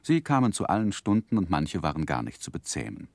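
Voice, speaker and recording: A man's voice: deep voice, big pitch swings, a little fast, dry recording. An actor playing a grown man who earnestly recalls his boyhood, narrating in a literary 1960s German radio play style. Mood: wry.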